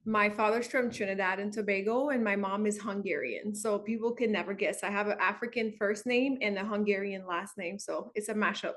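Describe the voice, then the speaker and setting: A woman's voice: mid-range voice, a little fast, hardly any pauses. A woman from Montreal with a Quebec French accent, French her first language, a bubbly wellness coach answering interview questions. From Montreal, Canada. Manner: bubbly, laughs often.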